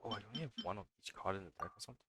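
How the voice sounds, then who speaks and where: A man's voice: fairly low pitch, huge pitch swings, racing, very noisy. A man casually commentating on a game live, thinking aloud in a hesitant way. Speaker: game streamer.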